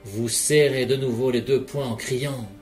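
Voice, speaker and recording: A man leading a guided relaxation session in a calm, measured voice, speaking directly to the listener. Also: medium pitch. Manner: calm, measured second-person guiding. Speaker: hypnotherapist guide. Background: soft background music.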